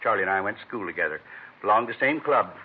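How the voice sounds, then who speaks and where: A man playing an anxious, eager middle-aged American neighbor in an old radio crime drama. His words come quickly in short, repetitive phrases, and the sound is narrow and noisy like a vintage broadcast. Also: lowish voice, expressive, close to an everyday voice. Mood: chatty, earnest. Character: agitated, over-eager middle-aged male neighbor at murder scene.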